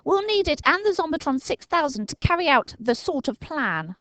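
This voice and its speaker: A woman voicing an excitable, frightened young boy in a storybook, with a stammer when he is scared. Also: high-pitched, moderate pace, slight background noise, pitched above the performer's own voice. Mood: urgent.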